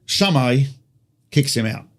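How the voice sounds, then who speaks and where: A man's voice: mid-range voice, lively intonation, slow pace, a bit bright. A man with an Australian accent, a rabbi teaching conversationally through stories and rhetorical questions. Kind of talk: conversational religious teaching with storytelling.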